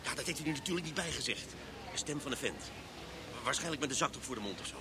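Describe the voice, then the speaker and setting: An actor playing a curt, impatient, grumbling police inspector in a Dutch radio drama. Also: male voice, medium pitch, expressive, fast, lightly acted. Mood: businesslike, hurried. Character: gruff, impatient Amsterdam police inspector, a grown man. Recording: noisy old radio play recording.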